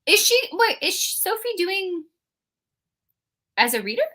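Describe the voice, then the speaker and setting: Bright, lively woman chatting about books. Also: extremely high pitch, measured pace. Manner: chatty and animated, lots of "like" and "um". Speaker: co-host of a book readalong live show. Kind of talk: casual chat between friends.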